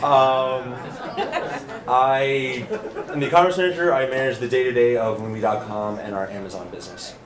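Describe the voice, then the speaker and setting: A man's voice: mid-range voice, moderate pace, a crowd in the background. A man speaking casually and upbeat as a panelist, with many fillers. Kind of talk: panel discussion. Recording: echoey room, faint noise.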